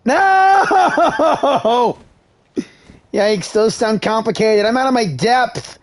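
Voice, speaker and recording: A man's voice: very high-pitched, animated, extremely slow. A man doing playful live voice-over for a video game, theatrical and exclamatory. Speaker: gaming video creator voicing over game dialogue.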